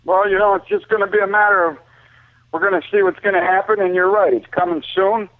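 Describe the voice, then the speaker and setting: Muffled, higher-voiced American man talking sports. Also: level tone, fast, no echo. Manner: emphatic, colloquial, repeats himself. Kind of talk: casual sports talk, opinionated commentary. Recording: muffled lo-fi phone-like radio sound.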